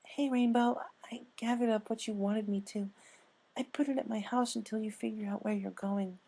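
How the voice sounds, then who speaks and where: A narrator voicing a gentle, timid young female pegasus pony in a soft, hesitant storybook voice. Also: medium pitch, steady tone, normal speed, creaky, pitched above the performer's own voice.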